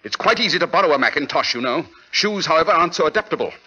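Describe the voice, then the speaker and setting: Sharp, commanding British gentleman detective. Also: fairly high pitch, expressive, rapid-fire, exaggerated voice. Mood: conclusive. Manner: crisp, commanding, deductive. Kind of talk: 1940s radio acting. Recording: dull old radio recording.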